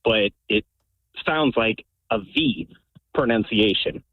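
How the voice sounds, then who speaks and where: A man's voice: fairly low pitch, slow pace, dry recording. A man calling in to a radio show, earnestly explaining something at length over a phone line. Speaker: caller. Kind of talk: call-in radio chat.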